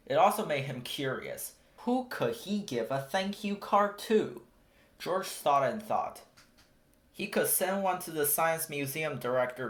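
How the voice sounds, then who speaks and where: A storybook reader giving an expressive read-aloud narration for children. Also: medium pitch.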